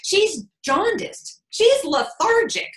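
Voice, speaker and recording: A woman's voice: extremely high pitch, lively intonation, takes it slowly, fairly bright tone, roomy sound, pitched above the performer's own voice, heavily acted. A woman voicing an anxious, overprotective older mother, fretful and pleading in a melodramatic stage-monologue way. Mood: nagging, alarmed. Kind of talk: theatrical monologue character.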